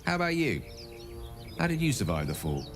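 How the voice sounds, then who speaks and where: An actor voicing a genial, wordy wizard in a video game, speaking with ornate courtesy and wry humor. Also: male voice, mid-range voice, huge pitch swings, brisk, over music. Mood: wry storytelling. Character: genial, verbose male human wizard, eloquent and wry. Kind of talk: video game dialogue acting.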